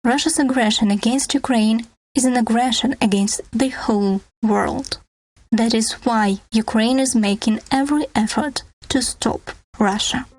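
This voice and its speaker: A woman's voice: on the high side, a little slow, dry recording, rough recording. A woman delivering scripted documentary narration as a news podcast narrator.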